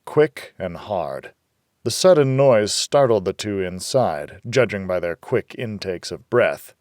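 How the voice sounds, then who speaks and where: Low-pitched man reading wry noir narration. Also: expressive, takes it slowly, darkish tone, no echo, studio quality. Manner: wry, world-weary. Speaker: audiobook narrator. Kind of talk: first-person hardboiled noir narration.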